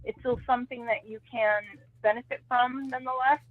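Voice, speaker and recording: A woman's voice: fairly high pitch, steady tone, fairly quick, no room sound. A woman giving a psychic reading on a live call-in show, hedging with "I feel like" over and over. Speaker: psychic reader. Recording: tinny phone line.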